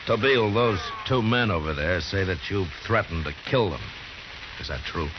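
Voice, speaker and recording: An actor playing a calm, stern frontier marshal in a radio western, measured and firm. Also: male voice, low-pitched. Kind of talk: 1950s radio western acting. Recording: hissy, muffled old radio recording.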